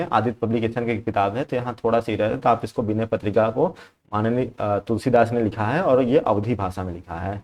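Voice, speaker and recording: A man's voice: mid-range voice, darkish tone. A young man teaching exam preparation, going through practice questions in a conversational way that repeats key points and keeps checking in with the viewer.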